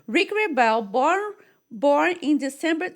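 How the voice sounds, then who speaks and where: A woman's voice: high voice, animated, unhurried. A woman from Rio de Janeiro, whose first language is Portuguese, hosts an interview show with effusive, exclamatory talk and non-native English phrasing. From Brazil, Rio de Janeiro. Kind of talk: enthusiastic interview chat.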